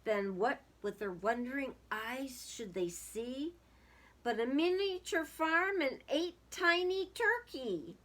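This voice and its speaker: A woman's voice: sits high, a bit bright. A woman reading a picture book aloud to young children, dramatic in the story and casual in asides that put questions to the listeners.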